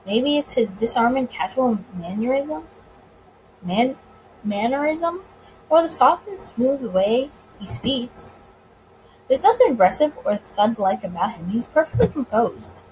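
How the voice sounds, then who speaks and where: A woman narrates a visual novel as a let's player in a high, lively voice. She reads expressively and now and then trips over a word, with clear background noise. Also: a little slow, low-quality recording. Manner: stumbles over words and rereads them. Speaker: video game let's player.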